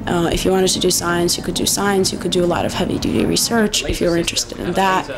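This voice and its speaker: A man's voice: sits high, fast talker, gravelly, a crowd in the background. A male student giving informal interview answers.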